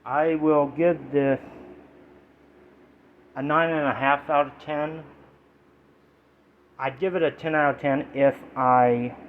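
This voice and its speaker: A man in his twenties with a nasal voice, chatting casually and haltingly as he gives an informal review in a vlog. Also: fairly high pitch, fairly even intonation. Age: 20s. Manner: halting, rambling delivery in short fragments. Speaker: YouTube vlogger reviewing products. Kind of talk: casual chat to camera, informal product review. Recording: tinny phone-like audio with background noise.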